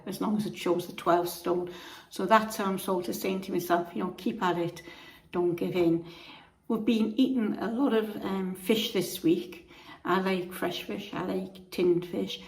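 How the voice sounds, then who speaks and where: A chatty woman with a croaky voice from feeling under the weather. She talks in a homely, rambling way with lots of restarts, at an ordinary pitch for a woman, and her voice rings in an echoey room. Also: a little mellow. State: croaky from being unwell. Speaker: vlogger. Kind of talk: casual chat to camera.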